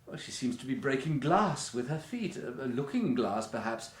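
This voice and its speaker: A man playing a gentle, unworldly priest detective in a radio drama, speaking with mild, hesitant politeness. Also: mid-range voice, big pitch swings, normal speed, reverberant, natural voice. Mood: dryly calm. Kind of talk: full-cast radio drama acting.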